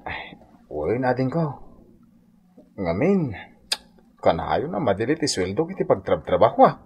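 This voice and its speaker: A man's voice: mid-range voice, sing-song, measured pace, husky, highs cut off, heavily acted. A man playing a humble older brother, deferential and coaxing, in an Ilocano radio drama. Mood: pleading. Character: humble, pleading older brother asking his sister for capital.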